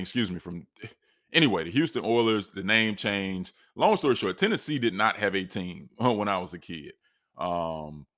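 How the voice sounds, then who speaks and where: A man's voice: sits low, a bit bright, no room sound, studio quality. A man in his late thirties from North Carolina, talking casually about sports fandom with a rambling, conversational manner and a slightly scratchy voice. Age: 30s. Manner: rambling, conversational, many restarts and asides. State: scratchy voice, sipping tea. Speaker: sports fan commentator. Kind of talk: casual monologue.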